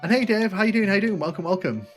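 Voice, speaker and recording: A man with a northern English accent and a slight Liverpool twang, chatting casually and a little haltingly to viewers as he hosts a gaming livestream. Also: sits high, expressive, faint music behind. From north of England, UK. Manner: chatty, informal, halting with repeated phrases. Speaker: livestream host, gaming streamer. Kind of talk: casual live stream chat with viewers over gameplay.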